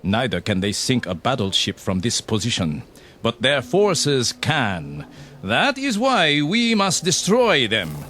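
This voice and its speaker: An actor playing a ruthless, contemptuous Japanese army captain in a radio drama, commanding and cold, with deliberate, emphatic phrasing. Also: male voice, mid-range voice, wildly swooping pitch, fairly bright tone, slight background noise, exaggerated voice. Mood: cold, lecturing. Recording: music under the voice.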